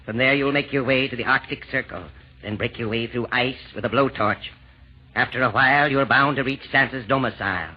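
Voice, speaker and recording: A man's voice: mid-range voice, a little fast, slightly rough, natural voice. An actor playing a scheming chairman of villains in an old radio comedy, speaking in formal declaimed rhyme. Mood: grand, scheming. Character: smooth-scheming male chairman of hell's villains. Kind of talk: 1940s radio comedy acting. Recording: muffled, noisy old-time radio recording.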